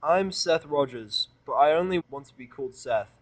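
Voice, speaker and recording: A man's voice: fairly high pitch, lively intonation, normal speed. Man playing an irritable sarcastic human guy. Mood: dismissive. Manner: sarcastic, blunt, scornful.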